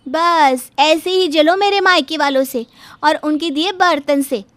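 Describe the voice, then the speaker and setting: Woman voicing a high, sharp, indignant young daughter-in-law. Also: measured pace, no room sound. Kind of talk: animated Hindi moral story dubbing.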